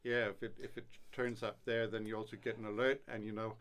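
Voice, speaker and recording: A man's voice: lowish voice, little pitch movement, fairly bright tone. Hesitant man chatting as a studio guest. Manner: hesitant, halting, many ums and throat clearing. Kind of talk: casual chat about ham radio.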